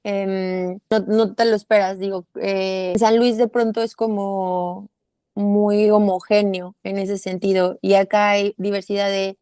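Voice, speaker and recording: Young Mexican woman, mid-pitch, interview guest, halting and thoughtful, dry room. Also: flat delivery, warm tone, clean tone, no room sound. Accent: Mexican Spanish. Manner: thoughtful, many fillers and restarts. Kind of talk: casual interview.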